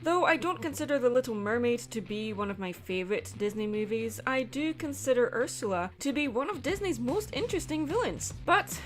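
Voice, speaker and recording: A woman narrating a scripted video essay in a playful, expressive way, often posing rhetorical questions. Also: a little high, fairly quick, few pauses. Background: background music.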